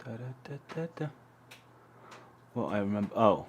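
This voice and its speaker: A man from Poland hosts a live stream in his home workshop, chatting in a loose, rambling way and humming little tunes. His voice sits low but swings widely up and down. There is a steady noise behind him. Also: a little slow. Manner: chatty, rambling, hums to himself. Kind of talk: casual live stream chat. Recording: loud background noise.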